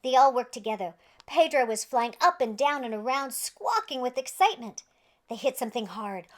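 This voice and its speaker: A woman's voice: a little high, expressive, moderate pace. A woman reading a children's story aloud in a playful, theatrical way with exaggerated emphasis. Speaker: children's storybook reader and host.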